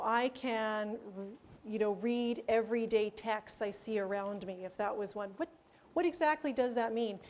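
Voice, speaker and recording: A woman giving a conference presentation on language teaching, explaining ideas and pausing with fillers. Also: a little high, darkish tone.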